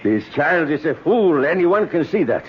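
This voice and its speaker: An actor playing a dignified, deferential jungle camp boss with formal, educated speech in an old-time radio drama. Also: male voice, fairly high pitch, very animated, moderate pace. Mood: frightened, agitated. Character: dignified, deferential native camp boss, superstitiously fearful of praise. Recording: dull, noisy old radio recording.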